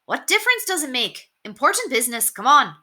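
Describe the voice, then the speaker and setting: Woman as a brusque bandit, sharp bright voice. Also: high voice, big pitch swings, fairly quick, pitched above the performer's own voice, exaggerated voice. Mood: impatient, sarcastic. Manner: curt, clipped casual phrasing. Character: brusque, sarcastic, impatient male bandit.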